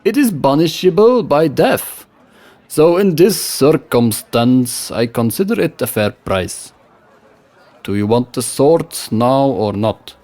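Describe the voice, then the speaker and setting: A man voicing a sly, smooth-talking weapons trader with a put-on West Frankish accent. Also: mid-range voice, expressive, takes it slowly, darkish tone, voice pushed up. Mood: coaxing. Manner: coaxing, salesman-like.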